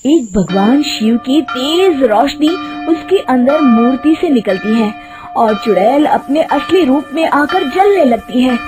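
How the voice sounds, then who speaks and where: A woman narrating a scripted horror story in a deliberate storybook manner. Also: sits high, expressive, over music.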